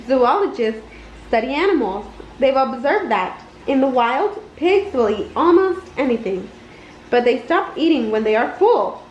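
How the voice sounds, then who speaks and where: Female storybook reader, animated and slow-paced, high register, roomy home recording with some noise. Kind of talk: expressive children's read-aloud. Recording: roomy, slightly dull, noisy home recording.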